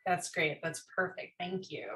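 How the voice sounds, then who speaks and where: A woman's voice: fairly low pitch, unhurried, a bit bright. A woman hosting an online conversation, talking loosely with many fillers and restarts. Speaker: host. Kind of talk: casual online panel chat.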